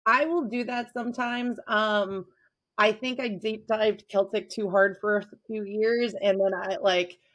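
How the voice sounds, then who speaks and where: A woman's voice: medium pitch, steady tone, a little slow, fairly bright tone. A talkative, enthusiastic woman chatting casually as a guest on a podcast. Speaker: podcast guest, avid reader.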